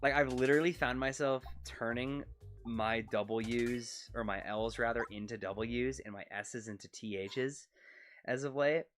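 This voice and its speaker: A man with a Canadian accent rambles through a live game stream in a mid-range voice, talking quickly. He speaks close to a desk mic while leaning back in his chair. Faint game music plays beneath him. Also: medium pitch. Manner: rambling, quick-talking, self-conscious about his pronunciation. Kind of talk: casual live-stream chat over gameplay. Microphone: desk mic set close to his mouth.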